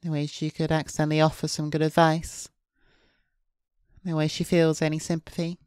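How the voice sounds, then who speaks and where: Low-voiced woman reading a visual novel. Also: monotone, normal speed, clean tone, no echo, clean studio sound. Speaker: VTuber streamer. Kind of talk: reading visual novel narration aloud, with casual asides. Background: soft guitar game music.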